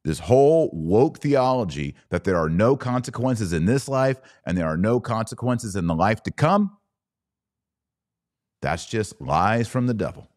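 Slow, low-pitched man preaching emphatically. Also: big pitch swings, darkish tone. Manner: blunt, informal. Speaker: podcast host. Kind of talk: religious commentary monologue.

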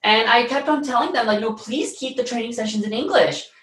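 A man's voice: extremely high pitch, fairly quick, fairly bright tone. A man in his late twenties from the United States, telling stories as an interview guest in a chatty, rambling way full of "like" and "you know.". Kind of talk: casual interview storytelling. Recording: roomy echo.

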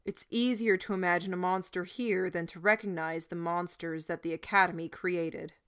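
A woman voicing a calm, assured crowd leader. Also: sits high, moderate pace, clean tone. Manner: soothing, assured, carrying. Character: calm, smooth-talking man leading a crowd.

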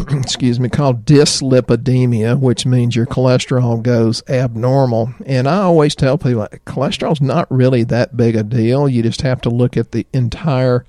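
Man, Southern American accent, folksy rambling doctor, a bit hoarse, dry close sound. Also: mid-range voice, steady tone, normal speed, mellow, no echo. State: slightly hoarse. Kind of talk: health talk show chat.

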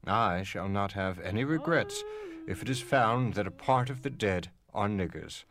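Formal Civil War-era official, swooping delivery. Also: male voice, on the low side, expressive, normal speed. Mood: solemn, measured. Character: stern Civil War-era man reading his official letters. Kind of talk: dramatic reading of historical letters.

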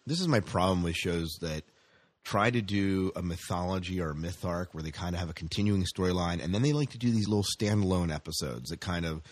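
A man's voice: low voice, a little fast, no echo, clean studio sound. A man co-hosting a podcast, chatting casually in an enthusiastic, rambling way as a fan of sci-fi shows. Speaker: podcast co-host.

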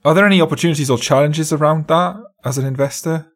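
A man's voice: fairly high pitch, fast talker. A man asking an investor direct questions as the interviewer.

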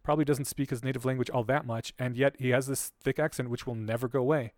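A man whose first language was Polish, talking casually as a podcast co-host. He sounds curious and chatty, with a mid pitch. The recording is dry and close to the mic. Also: steady tone, quick pace, no echo. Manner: curious, chatty, thinks out loud. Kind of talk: casual discussion.

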